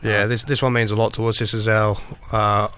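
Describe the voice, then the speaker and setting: Flat, low-voiced rapper. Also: male voice, brisk, clean tone. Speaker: guest rapper. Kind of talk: casual radio interview. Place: radio studio.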